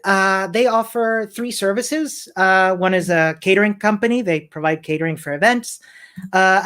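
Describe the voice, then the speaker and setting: A man's voice: sits high, fairly bright tone. Upbeat man from Chile giving a tech talk. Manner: friendly, upbeat, with fillers and light laughs. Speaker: conference speaker.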